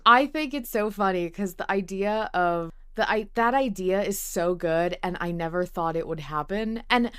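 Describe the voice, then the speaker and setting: A woman's voice: medium pitch, moderate pace, few pauses, a bit bright, clear, resonant tone. A woman co-hosting a casual fan discussion of a fiction podcast in an agreeable, conversational way, with occasional swearing. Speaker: podcast co-host.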